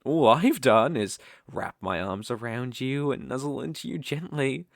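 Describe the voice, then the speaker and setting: Casting: male actor as possessive British dark elf master for ASMR roleplay; unhurried, condescending, coaxing, widely swooping intonation. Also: mid-range voice, animated, creaky, no room sound, studio quality. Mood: playful, teasing. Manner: teasing, condescending, coaxing, with soft chuckles.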